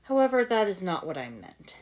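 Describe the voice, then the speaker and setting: A woman voicing a stern, calm old male martial arts master with dry, formal delivery in a story reading. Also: mid-range voice, brisk, a little hiss or hum, pitched above the performer's own voice, natural voice. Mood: dry, measured.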